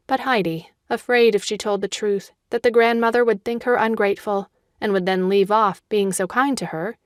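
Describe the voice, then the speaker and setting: Casting: female narrator for classic children's fiction, medium pitch, measured storytelling, intimate dry studio sound. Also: steady tone, no echo.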